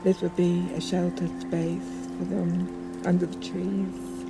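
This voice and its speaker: A woman speaking reflectively and unscripted in a documentary, thoughtful and halting, with frequent pauses. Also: lowish voice, flat delivery, music in the background, rough recording. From northern England. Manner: halting, pausing often, thoughtful. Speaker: poet.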